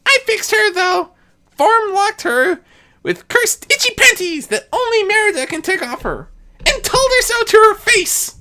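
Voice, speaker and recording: A woman acts out a mischievous young chaos spirit in a fantasy story. His voice sits high and swoops wildly as he grumbles and brags with offhand glee. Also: big pitch swings, unhurried, bright, forceful tone, heavily acted. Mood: gleeful spite. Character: mischievous boastful young male chaos spirit, son of a prince. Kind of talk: fan fiction story reading.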